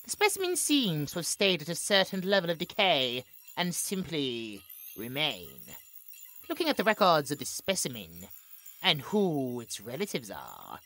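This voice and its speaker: A woman's voice: sits low, a bit bright, heavily acted. A woman playing a cold, imperious scientist in a horror audio drama, speaking in a clinical, theatrical way. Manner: theatrical, clinical log narration with dramatic emphasis.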